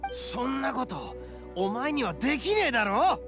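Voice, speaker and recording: Rough gravelly oni boss, anime game, cocky n hot-blooded, pitch swings a lot, bit of music. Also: male voice, very animated, unhurried, exaggerated voice. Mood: earnest. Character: brash, loud, loyal red oni gang boss, hot-blooded. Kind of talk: Japanese anime game drama acting.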